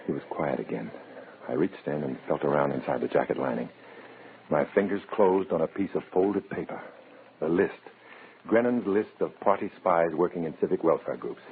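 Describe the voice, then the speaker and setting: Very low-pitched undercover agent, hard-boiled, tense, clipped, 1950s radio drama, crackly lo-fi sound. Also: male voice, moderate pace. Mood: tense, grim. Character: tense, hard-boiled male undercover agent narrating his own story. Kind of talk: 1950s radio drama acting, first-person suspense narration. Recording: crackly, narrow-band old radio recording.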